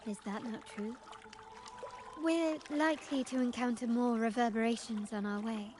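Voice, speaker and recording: Actress doing refined mysterious young lady from a video game, kinda high voice, formal and measured, bit of background noise. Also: fairly even intonation, close to an everyday voice. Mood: polite, intrigued. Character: poised, cultured, enigmatic young woman, refined conversationalist. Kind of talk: scripted video game dialogue.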